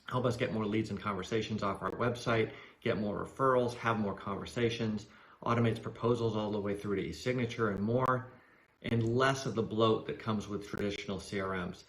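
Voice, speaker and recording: A man's voice: on the low side, steady tone, moderate pace. A man hosting an interview podcast, speaking in a conversational style. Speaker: podcast host.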